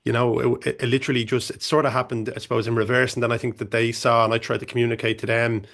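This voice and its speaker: A man's voice: mid-range voice, little pitch movement, fast talker, gravelly, a bit bright. A man, a band manager answering on a music-industry panel, talking loosely with many restarts and fillers. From Ireland. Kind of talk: panel discussion.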